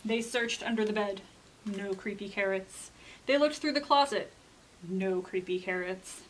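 An American woman in her thirties presenting and reading as a children's librarian, expressive and clearly enunciated, with dramatic pauses. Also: medium pitch, a little hiss or hum, poor audio. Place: indoor library room.

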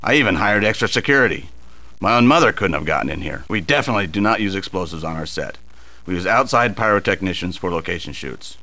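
Low-pitched, brusque studio boss. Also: male voice, animated, fast talker, bright, forceful tone. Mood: busy, matter-of-fact. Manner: brusque, businesslike, dismissive. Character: gruff, harried, impatient male TV studio boss. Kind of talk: video game dialogue.

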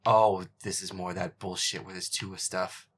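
A man's voice: sits low, level tone, normal speed, fairly bright tone, breathy edge. A man voicing a feisty, foul-mouthed young boyfriend, grumbling and exasperated, in a gameplay audio roleplay. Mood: muttering, annoyed. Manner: grumbling, profane, exasperated, often muttering under breath. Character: feisty foul-mouthed werewolf boyfriend grudgingly playing scary game.

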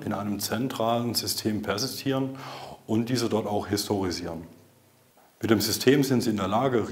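A man in his thirties with a fairly low voice delivers a scripted corporate explainer. He speaks formally and in a measured way, like a consultant addressing clients on camera. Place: indoor video studio. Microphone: lapel mic.

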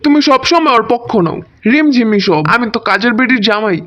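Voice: mid-range voice, lively intonation, fairly quick, close to an everyday voice. A performer voicing a whiny, jealous, food-loving child in a comedic cartoon, with a Bangladeshi accent. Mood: aggrieved. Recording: muffled audio with clear noise.

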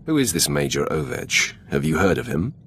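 A man's voice: a bass voice, very animated, normal speed, creaky, fairly bright tone, slight background noise, heavily acted. An actor playing a cold, confident male Sith warrior in a video game, terse and haughty. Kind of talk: scripted video game acting.

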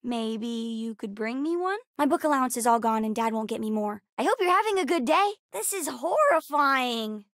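A little space kid from a video game, high voice, bookish n earnest, super dry clean sound. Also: female voice, expressive, moderate pace, few pauses, fairly bright tone, no echo. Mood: excited, upbeat, then worried, subdued. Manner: eager, earnest, chatty child-like delivery. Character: bright, bookish, earnest young girl aboard a spaceship. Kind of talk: scripted video game voice lines.

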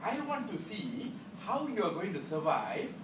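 Malaysian male club speaker, theatrical and very lively, higher-pitched voice, recorded from across a room. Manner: theatrical, deliberate pauses and emphatic delivery. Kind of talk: humorous prepared stage speech. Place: indoor club meeting room. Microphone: far camera. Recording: distant mic in echoey room, noisy.

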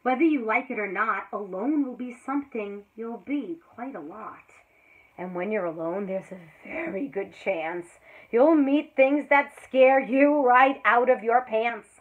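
A woman in her thirties, a teacher, reading a children's picture book aloud with dramatic swings between hushed lines and loud exclamations, recorded indoors on a close camera mic. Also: on the high side, unhurried. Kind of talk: expressive read-aloud storytelling for children. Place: small indoor room before a green backdrop. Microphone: built-in camera mic at close range. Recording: tinny phone-like audio.

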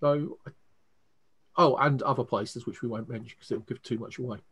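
A man's voice: medium pitch, normal speed, fairly bright tone, husky. A man with an English accent, casually explaining a tabletop roleplaying game as its game master.

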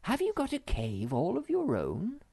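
A reader voicing Moomin Mamma, a gentle troll mother who is easily flurried. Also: medium pitch, wildly swooping pitch, unhurried, vocal fry, breathy edge, voice pushed up, heavily acted. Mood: fussing.

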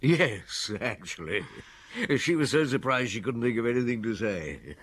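An actor playing a seasoned detective in a radio drama, recounting a case to a friend in a frank, conversational way. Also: male voice, medium pitch, normal speed, husky. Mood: reflective. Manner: relaxed, reflective, frank.

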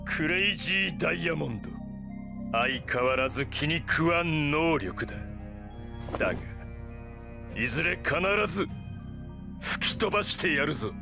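An actor playing a cold, haughty serial-killer villain in a Japanese anime game, measured and disdainful. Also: male voice, fairly high pitch, lively intonation, creaky. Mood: haughty menace. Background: game music.

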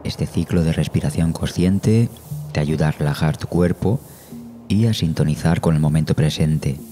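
A man narrating a guided meditation in Spanish as the guide, slowly and soothingly, with measured phrases and long pauses. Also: extremely low pitch, warm tone. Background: meditation music.

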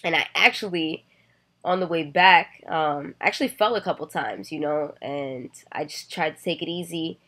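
Woman in her thirties, low voice, earnest and reflective vlogger, webcam in a bedroom. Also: lively intonation, normal speed, a bit bright. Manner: earnest, reflective, with many "you know" fillers. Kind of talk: personal storytelling to camera. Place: bedroom, indoors. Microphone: webcam at desk distance.

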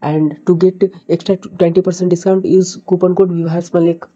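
A man with a Nepali accent and a very dark, soft-edged tone hosts a tutorial in an even, flat melody. He speaks haltingly and repeats words, and the microphone sound is dull and lo-fi. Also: high-pitched. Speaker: tutorial host. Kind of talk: screen-recorded tutorial narration.

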